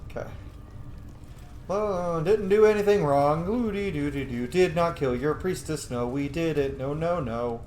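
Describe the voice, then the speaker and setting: A man's voice: a little high, a little slow, darkish tone, reverberant, slight background noise. A man giving casual, playful, sweary commentary as he plays a video game. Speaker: gaming video host.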